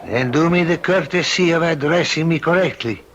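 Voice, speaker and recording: A man's voice: fairly high pitch, moderate pace, fairly bright tone, dull audio. An actor playing a stern, courtly middle-aged Spanish father in an old-time radio drama, formal and commanding with a Spanish accent. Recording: lightly noisy vintage radio recording.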